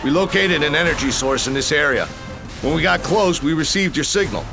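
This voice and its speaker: A man's voice: on the high side, animated, a little fast, creaky. A man playing a wry, confident army major in a sci-fi game, speaking in a dry, informal way. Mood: guarded, dry. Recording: music behind the voice.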